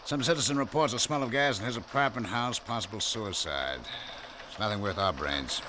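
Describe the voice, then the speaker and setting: An actor playing a gruff, world-weary police lieutenant in a radio drama, wry and streetwise. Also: male voice, lowish voice, lively intonation, brisk, background noise, close to an everyday voice. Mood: wry, brusque.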